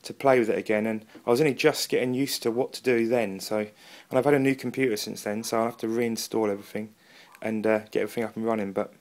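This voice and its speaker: A man's voice: on the low side, steady tone, quick pace, few pauses, darkish tone. A man with an English accent talking casually as a hobbyist reviewer, rambling a little and self-deprecating. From England. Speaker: amateur radio hobbyist and video reviewer. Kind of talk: casual product review and radio chat. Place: indoors at a wooden table, off camera.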